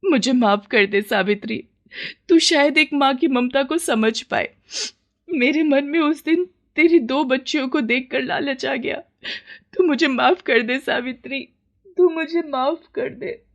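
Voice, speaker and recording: Woman as an emotional, higher-pitched housewife. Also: unhurried, muffled recording. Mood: pleading. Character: emotional city housewife, guilty and possessive mother.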